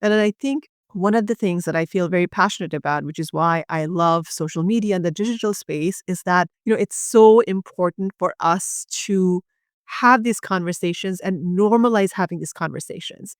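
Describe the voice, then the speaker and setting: A woman's voice: on the low side, normal speed, no echo. Woman doctor from bangladesh, earnest and passionate, talks it through with lots of you knows, dry close sound. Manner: earnest, passionate, many fillers. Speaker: urogynecologist guest. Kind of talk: conversational medical explanation.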